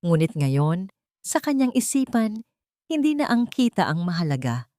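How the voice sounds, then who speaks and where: A woman narrating a scripted romantic drama story in a measured, sentimental way. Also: lowish voice, very animated, dark tone, no echo, studio quality.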